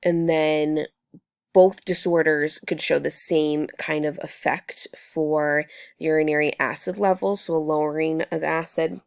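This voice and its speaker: Woman student fighting a cold, low froggy voice, dry sound, talks it through slowly with lots of ums. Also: steady tone, clean tone, no echo. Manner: measured, explanatory, with frequent ums and restarts. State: sick with a cold, voice strained. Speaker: student presenting coursework. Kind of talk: recorded class presentation.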